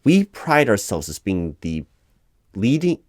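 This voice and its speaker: A man playing a recruiting manager in a soft-of-script, improvised ASMR interview roleplay, speaking aloud in a halting, officious, explanatory way. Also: lowish voice, very animated, no echo, studio quality. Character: flustered, officious male recruiting manager at a company. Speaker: job interviewer in a one-sided roleplay.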